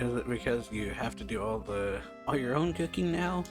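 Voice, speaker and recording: A man's voice: medium pitch, expressive, normal speed. A man casually commenting over a game, with mumbled asides and chuckles. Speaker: gameplay streamer. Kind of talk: casual let's-play commentary. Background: game music.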